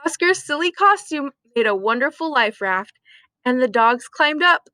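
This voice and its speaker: A woman reading a children's story aloud expressively, with deliberate dramatic emphasis. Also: high voice, lively intonation, pushed and bright, no echo. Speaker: storytime reader.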